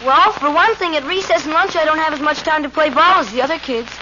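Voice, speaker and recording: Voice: high-pitched, fast talker, pushed and bright. A young performer playing an earnest, mildly grumbling schoolboy in an old radio sitcom, matter-of-fact and a little plaintive. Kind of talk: 1940s-50s radio sitcom acting. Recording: noisy, dull old radio recording.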